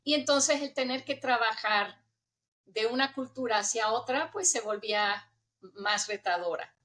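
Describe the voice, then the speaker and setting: A woman's voice: on the high side, a little slow, fairly bright tone. A middle-aged woman from the United States with a hybrid Spanish accent often taken for Argentine, talking in an interview, chatty and laughing easily. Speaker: guest, intercultural trainer. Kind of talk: conversational interview.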